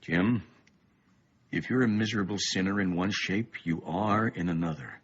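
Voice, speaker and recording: An actor playing a weary middle-aged, bookish father in a radio play, grave and thoughtful, with a husky voice and a measured, ominous way of explaining. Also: deep voice, slight background noise, lightly acted. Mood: brooding. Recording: dull, muffled lo-fi radio play.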